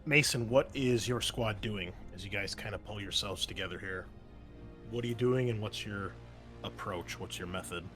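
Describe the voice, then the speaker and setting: A man with a fairly low voice acts as game master for a tabletop roleplaying session. He talks conversationally through rules and options, correcting himself as he goes. Soft music plays behind him. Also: normal speed. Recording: background music under the voice.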